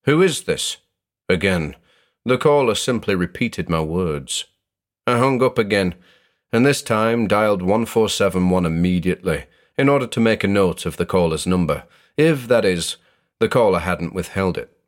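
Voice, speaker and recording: A man narrating a first-person horror story in a measured, dramatic way. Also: low-pitched, lively intonation.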